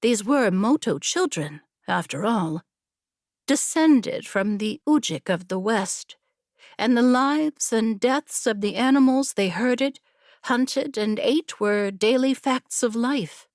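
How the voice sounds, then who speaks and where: A woman narrating a scripted story in a measured, deliberate storytelling manner. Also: a little high, lively intonation, dry recording, studio quality. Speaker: audiobook narrator.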